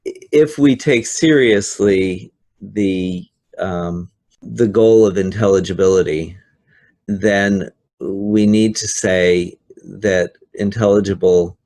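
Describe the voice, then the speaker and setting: An older professor speaks over a video call from his office with a low voice. He talks very slowly and frankly, pausing and restarting as he thinks. He sounds like a seasoned teacher trainer. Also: darkish tone. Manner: thoughtful, frank, many hesitations.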